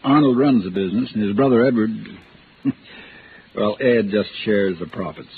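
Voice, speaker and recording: A man's voice: lowish voice, moderate pace, natural voice. An actor playing a domineering, folksy husband and insurance man in a 1950s radio drama. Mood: insistent, explanatory. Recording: tinny vintage radio with faint noise.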